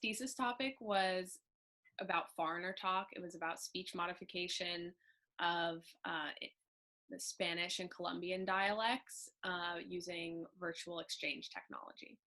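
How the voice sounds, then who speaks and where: A young woman from England speaks in a lowish voice with a bright, crisp edge. She is brisk and concise as she covers her studies. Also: steady tone. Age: young adult. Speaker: university student.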